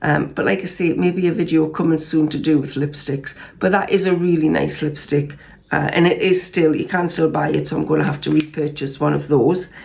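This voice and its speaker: A woman in her sixties with a Geordie accent talks through her favourite makeup in a relaxed, rambling way, full of ums and little restarts. Her voice sits a touch low and has a gravelly fry to it, made croakier by a cold. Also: a little fast. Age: 60s. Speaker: beauty vlogger. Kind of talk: casual makeup review vlog.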